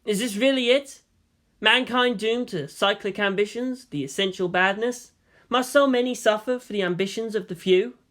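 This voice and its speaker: Male reader as teenage boy student, high pitch, deferential, earnest, troubled, storybook dialogue. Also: normal speed, a bit bright. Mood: troubled questioning. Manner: deferential, questioning.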